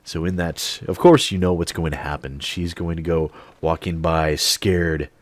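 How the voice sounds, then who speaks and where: Deep-voiced man telling a story. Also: measured pace, no echo. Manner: animated storyteller, swears freely. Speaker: channel host. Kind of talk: storytime monologue.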